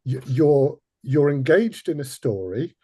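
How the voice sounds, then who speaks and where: A man's voice: mid-range voice, expressive, measured pace, warm tone, breathy edge, dry recording. A man hosting a panel discussion, phrasing his points tentatively and hesitantly as he works through them. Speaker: host.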